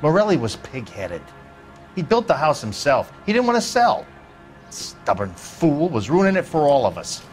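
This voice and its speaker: An actor playing a grudging, folksy American neighbor being questioned as a witness in a video game drama. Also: male voice, fairly high pitch, wildly swooping pitch, moderate pace, faint music behind. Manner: folksy, offhand, defensive. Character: evasive, grudging male suburban neighbor and witness.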